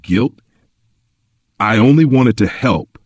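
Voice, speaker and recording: A man's voice: mid-range voice, lively intonation, slow pace, no room sound, studio quality, pitched above the performer's own voice. A man voicing a small, kind, earnest male goat in a storybook tale, pleading and naively honest. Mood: guilty. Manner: soft-spoken, earnest, pleading.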